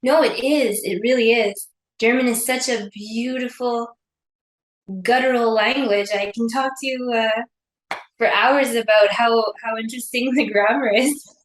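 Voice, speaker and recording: Woman, podcast co-host, casual chat, laughs often, somewhat high pitch, roomy echo. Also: steady tone, unhurried. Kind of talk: casual chat over wine.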